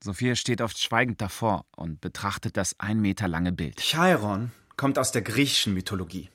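A man's voice: lowish voice, animated, moderate pace, a bit bright. An actor playing an articulate, well-read man in a radio play, explaining things in a colloquial, good-natured way. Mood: calm, explanatory. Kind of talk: radio play narration and dialogue.

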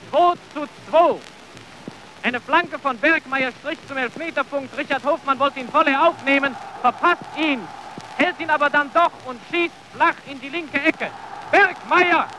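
A man giving live football commentary in an excited way, heard on an early 1930s archive recording. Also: extremely high pitch, slight background noise, rough recording. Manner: excited, shouting goals. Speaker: sports commentator.

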